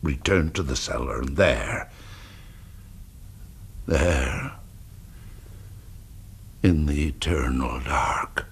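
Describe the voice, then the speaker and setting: An actor playing a vengeful blind man telling of his cruel revenge in a radio drama, halting and sinking into whispers. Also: extremely low pitch, husky, full character voice. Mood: gloating, menacing. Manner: hushed, halting, lingering on words, sinking to whispers. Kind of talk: radio drama storytelling monologue. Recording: faint background noise, old radio drama.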